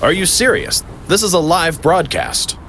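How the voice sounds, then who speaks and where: A man's voice: fairly high pitch, sing-song, measured pace, sharp tone, slight background noise, heavily acted. A man playing a dramatic TV crime show presenter, delivering broadcast lines in an emphatic announcer manner. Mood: incredulous. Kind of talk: broadcast presenting and news report. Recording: music behind the voice.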